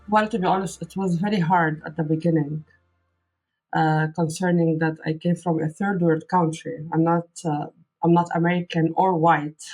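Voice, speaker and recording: A woman's voice: deep voice, steady tone, normal speed, darkish tone, slightly rough, faint music behind, low-quality recording. Candid woman, Arabic first language. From Lebanon. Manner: earnest, candid, frequent fillers and restarts. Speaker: guest copywriter. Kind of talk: casual interview answers.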